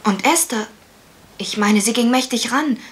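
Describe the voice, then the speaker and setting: An actress playing a timid, obedient young housemaid who speaks hesitantly in a German radio crime drama. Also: female voice, a little high, measured pace, a bit bright. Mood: hesitant, defensive. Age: young adult.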